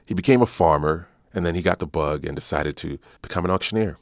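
A man hosting a community radio podcast, reading scripted narration in a measured, announcer-like way. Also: sits low, no echo, studio quality. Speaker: podcast host.

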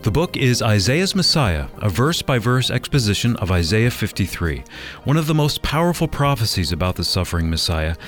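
Lowish, animated American male radio host. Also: a little fast, soft background music. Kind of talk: scripted radio announcing. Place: dry studio.

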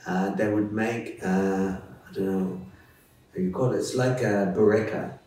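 A man's voice: sits low, steady tone, measured pace, warm tone, a little hiss or hum. A middle-aged Australian man telling personal stories as an interview guest, easygoing with small chuckles and restarts. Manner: easygoing, chuckles now and then, many fillers and restarts. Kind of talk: relaxed personal storytelling. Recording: echoey room, distant mic, faint music.

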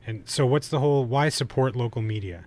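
Casting: male podcast host, medium pitch, irreverent and loose conversational banter. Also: a little fast, a little mellow. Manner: joking, irreverent. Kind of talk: casual, bantering podcast chat.

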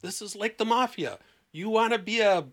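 A man speaking conversationally as a therapist on a podcast panel, blunt and emphatic, with deliberate pauses and rhetorical questions. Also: high voice, a bit bright. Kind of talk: conversational podcast talk with acted-out client dialogue.

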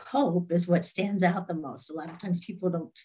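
A woman's voice: on the low side, fairly even intonation, normal speed, dark tone, slightly rough. A woman conducting a conversational interview, giving brief affirming responses and putting questions to her guest.

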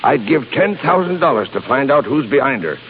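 An actor playing a gruff, stubborn old shipping boss with salty nautical talk, in 1930s radio drama style. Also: male voice, mid-range voice, very animated, fairly quick, close to an everyday voice. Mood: exasperated, indignant. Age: older. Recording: tinny, noisy 1930s radio recording.

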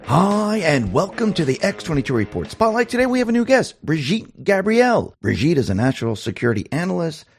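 A male host who reads upbeat ads and asks halting questions in an interview. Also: a little high, very animated, gravelly, soft background music. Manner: upbeat ad-read delivery.

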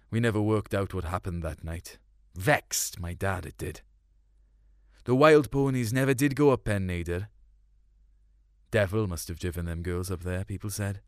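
A man's voice: on the low side, no echo, studio quality. A man reading a first-person story in a colloquial, melancholic way. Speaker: short story narrator.

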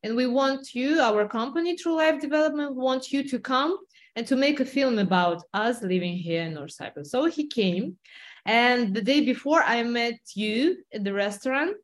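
A young adult woman from Kazakhstan with a Kazakh accent, telling a story in English, pausing often with "uh" as she searches for words. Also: a little high, lively intonation. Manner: many "uh" fillers, searching for words. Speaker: interpreter and lawyer.

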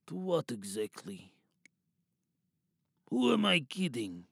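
Man playing a gravelly, weary battle-hardened soldier. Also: sits high, sharp tone, no room sound, clean studio sound, full character voice. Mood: bitter, despairing. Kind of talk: dramatic audio monologue.

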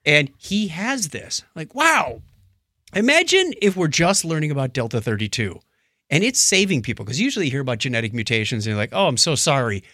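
Animated man with a bright, lively voice. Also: fairly high pitch, a little fast, no echo, clean studio sound. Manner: animated, exclamatory, joking. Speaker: podcast co-host. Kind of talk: casual podcast chat.

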